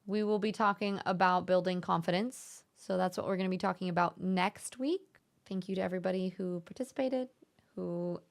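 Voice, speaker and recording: A young woman hosting a casual live-stream chat, speaking in a gentle, encouraging and thoughtful way. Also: mid-range voice, creaky. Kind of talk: casual live-stream chat, reading viewer messages aloud.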